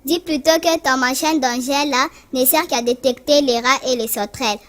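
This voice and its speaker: High-pitched woman as young cartoon girl, scornful, sharp-tongued, scolding. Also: steady tone, normal speed, close to an everyday voice. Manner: scolding, dismissive. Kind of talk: animated cartoon dialogue.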